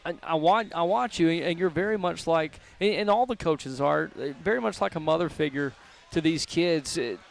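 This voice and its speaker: A man hosts a live sports radio broadcast, asking long, friendly, rambling questions. His voice sits on the high side for a man. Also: moderate pace, gravelly. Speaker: radio show host. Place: restaurant broadcast.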